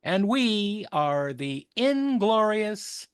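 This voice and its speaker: A man's voice: high-pitched, big pitch swings, drawn-out words, smooth, dry recording, studio quality. A man co-hosting a podcast with intros and casual banter. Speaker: podcast co-host.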